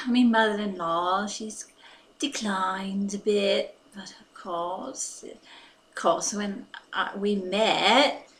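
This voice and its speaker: A woman's voice: mid-range voice, extremely slow, a bit bright, a little hiss or hum. A woman playing a chatty, fussy English wife in her fifties, with an English accent. She rambles, giggles and repeats herself. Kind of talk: comic character monologue.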